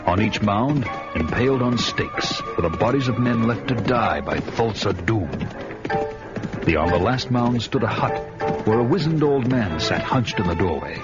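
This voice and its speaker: Grim barbarian telling his own story, lowish voice, music under, dull old audio. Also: lively intonation, a little slow. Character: vengeful male barbarian warrior hero. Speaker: narrator and hero. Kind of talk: first-person radio drama narration.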